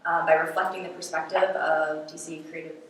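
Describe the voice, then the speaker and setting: Woman introducing herself on a panel, lowish voice, casual, echoey far-off sound. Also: little pitch movement, brisk, noisy background. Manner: casual, uses "like". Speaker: creative strategist, panelist. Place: large event hall.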